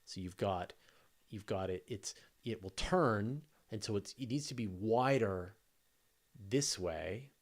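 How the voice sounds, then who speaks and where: A man's voice: on the low side, lively intonation, takes it slowly. A man hosting a live astronomy question show, chatting casually and off the cuff with informal enthusiasm.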